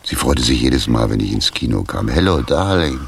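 A very low, gravelly male tramp in a radio drama, coarse and sardonic. His delivery swings widely in pitch, and he talks bluntly, with faint noise on the recording. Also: lively intonation, normal speed, exaggerated voice. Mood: wistful. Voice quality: very deep, gravelly. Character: coarse, sardonic homeless drifter, ex-lathe worker from Kreuzberg. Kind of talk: radio play acting.

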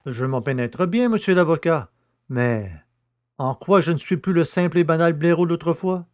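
A man playing a naive, self-pitying poacher in prison, easily flattered and grandiose, in a theatrical, exclamatory audio drama style. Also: on the high side, natural voice. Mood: grateful, naive. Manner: plaintive, exclamatory, drawn-out words. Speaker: actor in an amateur audio drama.

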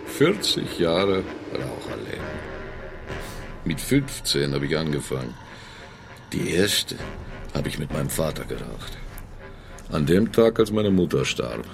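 A middle-aged gangster boss for a radio play, with a rock-bottom, creaky voice. He is deliberate and sardonic, his intonation moves widely, and music plays clearly behind him. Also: very deep voice, big pitch swings, heavily acted. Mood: grim reminiscing. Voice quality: gravelly. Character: menacing middle-aged Russian male crime boss, chillingly matter-of-fact. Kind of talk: radio drama acting.

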